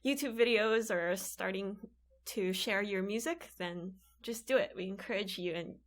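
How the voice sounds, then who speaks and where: A woman's voice: mid-range voice, normal speed. A woman from the Philippines co-hosts a casual podcast. She speaks earnestly and encourages her listeners. She is recording in a library studio. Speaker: podcast co-host.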